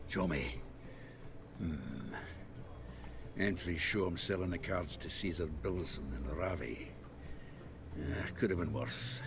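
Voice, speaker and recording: An actor playing a gruff, blunt male dwarf card dealer with a gravelly voice, in a video game. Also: low-pitched, slow, long pauses, full character voice. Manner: blunt and coarse. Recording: game audio with background noise.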